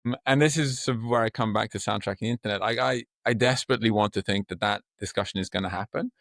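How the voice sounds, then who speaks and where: A man's voice: on the low side, fast talker, a bit bright, no room sound, clean studio sound. A middle-aged man from Sweden with a Swedish accent, a podcast guest speaking expansively and in vivid images. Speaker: guest, company co-founder. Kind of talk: podcast interview.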